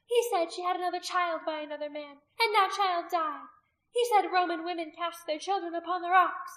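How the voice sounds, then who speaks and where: Shrill, zealous pagan high priestess. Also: female voice, moderate pace, fairly bright tone, echoey room. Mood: venomous, accusing. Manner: shrill, imperious, accusing declamation. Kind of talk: dramatic audiobook character acting.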